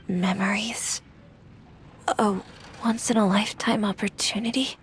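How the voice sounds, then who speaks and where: An actress voices a young woman in a video game, wistful and unsure of herself. Her pitch is average, and faint noise sits behind her. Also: fairly even intonation, unhurried, natural voice. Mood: sorrowful. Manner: hesitant, wistful. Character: young woman, wistful, hesitant dreamer clinging to fantasy.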